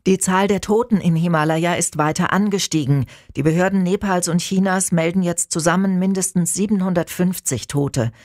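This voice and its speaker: A man reading the morning radio news in a measured, formal manner. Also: sits high, no room sound, clean studio sound. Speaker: news anchor. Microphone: studio microphone.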